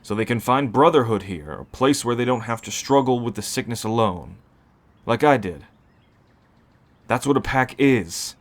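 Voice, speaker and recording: A man playing a weary, protective werewolf who keeps a refuge, speaking in a measured, earnest way for an ASMR roleplay. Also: mid-range voice, lively intonation, close to an everyday voice. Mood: earnest, reassuring.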